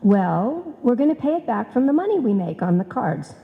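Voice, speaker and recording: A woman's voice: a little high, sing-song, moderate pace. A woman playing an earnest secretary and friend in a live old-time radio comedy. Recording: dull, echoey hall stage recording.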